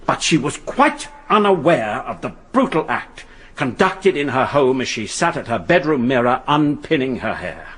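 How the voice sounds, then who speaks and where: Grave male barrister, husky voice, sweeping intonation, emphatic courtroom oratory, radio play. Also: medium pitch, sing-song, moderate pace, fairly bright tone. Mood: solemn, impassioned. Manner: rhetorical, solemn, emphatic. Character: grave, impassioned male defence barrister addressing a jury.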